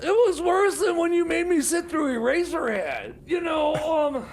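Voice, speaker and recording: A man voicing a rattled, drunken oddball sidekick in radio comedy, stammering and rambling excitably. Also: extremely high pitch, measured pace, a little hiss or hum, full character voice. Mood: agitated. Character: rattled, drunken, high-voiced oddball sidekick and movie critic. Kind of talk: improvised comedy radio theater.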